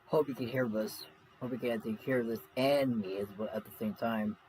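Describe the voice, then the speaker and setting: A man hosting a casual livestream, speaking in a halting, repetitive way. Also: mid-range voice, fairly even intonation, a little mellow. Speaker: livestream reaction host. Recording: noisy home livestream audio.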